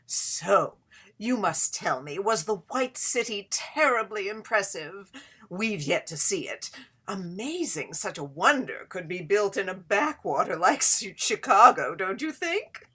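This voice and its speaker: A woman's voice: medium pitch, very animated, unhurried, sharp tone, breathy edge, full character voice. A woman playing a flirtatious Gilded Age society hostess in a period play reading, speaking in an affected, coy and theatrical way. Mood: gracious, showy. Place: indoors, remote online reading.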